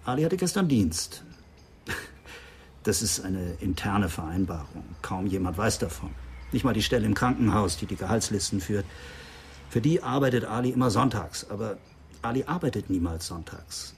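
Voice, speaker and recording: Gravelly, hesitant clinic doctor. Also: male voice, lowish voice, animated, normal speed, a little hiss or hum. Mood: hesitant, explaining. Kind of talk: German radio crime drama acting.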